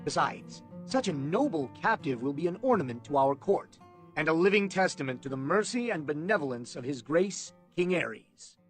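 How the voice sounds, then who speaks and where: A man's voice: fairly high pitch, big pitch swings. Guy doing a haughty royal minister in a fantasy audiobook, cold n formal, sneering, guitar music under it. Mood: coolly calculating. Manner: measured, formal, contemptuous. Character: cold, imperious royal minister, a male lord.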